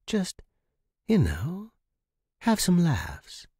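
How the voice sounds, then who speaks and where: A man reading an audiobook, voicing a flirtatious woman pilot, tentative and gently hopeful, with drawn-out phrasing. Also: high-pitched, wildly swooping pitch, slightly rough, no room sound, studio quality, voice pushed up, exaggerated voice. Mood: coaxing. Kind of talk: character dialogue in audiobook reading.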